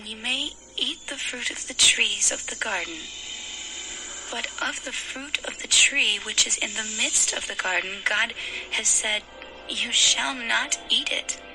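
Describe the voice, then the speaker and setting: Bright, slow-spoken innocent first woman. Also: a little high, soft background music, exaggerated voice, poor audio. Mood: innocent, careful. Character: first woman, innocent and earnest, later guilty. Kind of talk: dramatized audio scripture acting.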